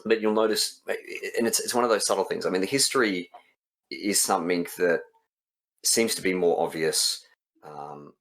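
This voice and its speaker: A man's voice: deep voice, normal speed, a bit bright, poor audio. A man who develops and writes games, talking casually in an interview.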